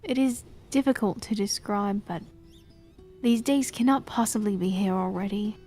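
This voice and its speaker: A woman voicing a cursed young queen who speaks in a slow, formal, solemn way in a fantasy audio drama. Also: mid-range voice, a little mellow, soft background music, lightly acted. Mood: weary.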